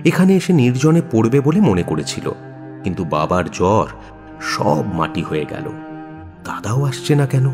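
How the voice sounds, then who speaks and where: A man's voice: mid-range voice, big pitch swings, normal speed, over music. A man narrating a scripted audio story expressively, in the role of narrator.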